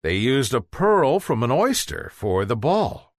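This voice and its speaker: A man's voice: medium pitch, big pitch swings, measured pace, no room sound, studio quality. A man narrating a children's bedtime story in a theatrical way, drawing out words for emphasis.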